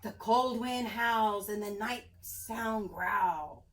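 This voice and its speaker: A woman reading a picture book aloud like a preschool teacher, expressive and sing-song for young children. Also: medium pitch, takes it slowly.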